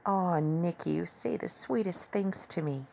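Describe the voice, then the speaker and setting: A man's voice: on the high side, sing-song, measured pace, creaky, a little hiss or hum, voice pushed up, exaggerated voice. A man voicing a teasing, dominant adult woman in an audio story, coaxing and confident. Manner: coaxing pet names, teasing commands.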